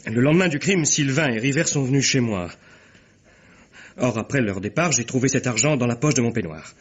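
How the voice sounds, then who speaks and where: Husky, nervous male suspect. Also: mid-range voice, animated, fairly quick. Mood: hesitant, self-justifying. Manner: stammering, defensive, agitated. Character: nervous, evasive male suspect, self-serving and cowardly. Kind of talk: French radio mystery drama acting. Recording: dull, muffled old radio recording.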